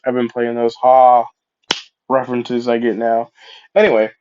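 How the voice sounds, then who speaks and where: A man with a stuffed-up cold narrates a fan story slowly, wandering off into asides and correcting himself as he goes. His voice sits at an ordinary pitch. It comes through a dull, lo-fi mic. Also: completely flat delivery. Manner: rambling, self-correcting, casual asides. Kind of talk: improvised fan-fiction storytelling.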